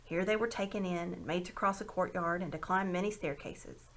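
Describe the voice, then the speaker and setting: A woman reading a children's story aloud in an expressive narrating style. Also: on the low side, fairly quick, a little hiss or hum. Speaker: amateur audiobook reader.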